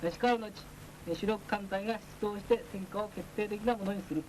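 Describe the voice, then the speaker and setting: A man's voice: sits high, fairly even intonation, a little fast, darkish tone, rough recording. A man delivers a formal military lecture in a stern, declamatory manner. He often drops in hesitation sounds as he instructs. The sound is that of a 1940s film soundtrack with some noise. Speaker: naval instructor.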